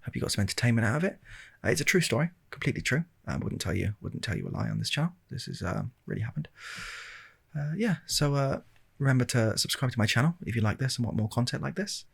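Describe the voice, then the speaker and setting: A British man telling a rambling comic ASMR story in a deadpan, hesitant way, with a husky, gravelly voice, close to the microphone. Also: mid-range voice, fast. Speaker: video storyteller. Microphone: close handheld-recorder style microphone.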